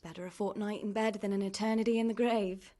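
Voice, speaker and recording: A woman acting a caring, world-weary young miller's niece in a medieval video game drama, plain-spoken and gently chiding. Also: medium pitch, steady tone, fast, natural voice. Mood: brisk, wry.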